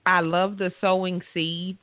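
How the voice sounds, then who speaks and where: A woman with a loud voice, hosting a radio talk show in a frank, self-assured way. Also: fairly low pitch, flat delivery, a little slow, no echo. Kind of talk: casual interview chat. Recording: dry, tinny phone-line sound.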